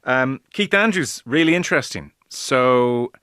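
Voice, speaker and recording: A man's voice: mid-range voice, lively intonation, unhurried, bright, forceful tone, clear, resonant tone, no echo. A man hosting a football show in a conversational presenting style.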